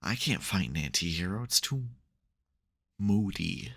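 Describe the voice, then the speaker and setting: Man playing a very deep, gravelly supervillain. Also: lively intonation, a little slow, fairly bright tone, heavily acted. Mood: wry. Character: male supervillain nemesis, exasperated yet secretly caring. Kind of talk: intimate ASMR audio roleplay.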